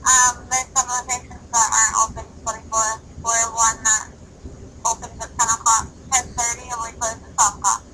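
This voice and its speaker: A woman working at a fast-food restaurant, politely handling a customer call over the phone. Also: fairly high pitch, level tone. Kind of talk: customer service phone talk. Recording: phone call with faint noise.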